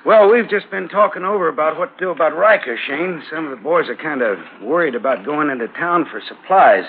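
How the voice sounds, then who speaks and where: A man's voice: a little high, expressive, fairly quick, narrow, tinny audio. A man acting an earnest, resolute homesteader who folksily rallies his neighbors in an old radio western. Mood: earnest, firm. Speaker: radio drama actor. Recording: crackly, band-limited old radio broadcast.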